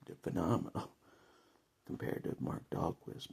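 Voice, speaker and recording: An American man with a gravelly, husky voice, chatting casually and jokily in a rambling vlog monologue, often chuckling. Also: unhurried, darkish tone. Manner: chuckles often, jokey, conversational. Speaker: vlogger giving political opinion.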